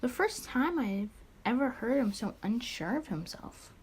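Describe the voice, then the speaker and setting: A woman's voice: fairly high pitch, sing-song, measured pace, darkish tone, a little hiss or hum. A woman hosting a let's play, reading a visual novel aloud expressively with casual muttered asides and small stumbles.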